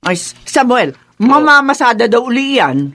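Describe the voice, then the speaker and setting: A woman's voice: a little high, big pitch swings, normal speed, fairly bright tone, dry recording, heavily acted. A woman playing a fretful, bossy older mother in a radio drama, with a Filipino accent and a nagging, exclamatory way of talking. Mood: scolding, worried. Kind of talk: Tagalog radio drama acting.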